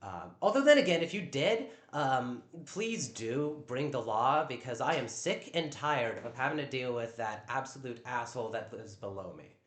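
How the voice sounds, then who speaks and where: A man with a naturally loud voice talking on a live stream at home, defensive and stammering over repeated words. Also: medium pitch, lively intonation, moderate pace, hardly any pauses. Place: at home in a downstairs room. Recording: echoey room.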